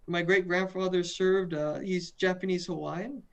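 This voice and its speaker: A man's voice: high-pitched, steady tone, measured pace, gravelly, muffled recording. A young man from the western United States, a student guest in a video interview, earnest and often repeating and restarting words. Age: young adult.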